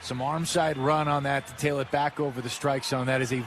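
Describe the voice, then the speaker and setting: A man's voice: mid-range voice, flat delivery, brisk. An American man giving informal, conversational analysis as a live baseball color commentator. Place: stadium broadcast booth. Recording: noisy live ballpark broadcast.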